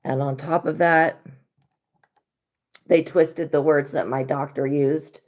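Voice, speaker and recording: A woman tarot reader hosting a live stream in a casual monologue, with a hoarse, worn-out voice and a blunt, profane way of talking. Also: low-pitched, fairly even intonation, takes it slowly, a little mellow. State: hoarse, lost voice.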